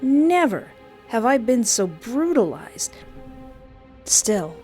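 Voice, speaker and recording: A woman's voice: a little high, sing-song, slow, darkish tone. A woman video host giving scripted commentary with comic asides, leaning on single words with dramatic emphasis. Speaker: video host, visual novel streamer. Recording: background music under voice. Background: music.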